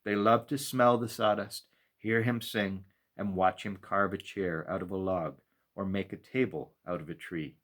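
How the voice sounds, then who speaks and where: A man with a low voice narrates a story for children. He reads in a measured storytelling style, shaping phrases expressively and leaving deliberate pauses. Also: fairly even intonation, a bit bright. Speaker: pastor reading a children's story. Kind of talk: storytime read-aloud narration.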